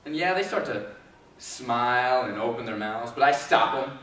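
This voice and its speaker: A man's voice: medium pitch, lively intonation, moderate pace, fairly bright tone, lightly acted. A man playing a bitter, commanding elephant trainer with grim duty, intense and emphatic in a stage drama. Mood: bitter, anguished. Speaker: actor in a student readers theater group. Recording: very echoey hall, distant mic, faint noise.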